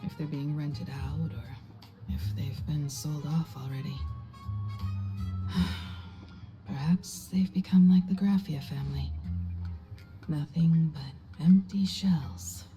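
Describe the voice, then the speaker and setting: A woman voicing a calm, wry, worldly traveller in a video game, composed and unhurried. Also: low voice. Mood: wistful. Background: soft game music.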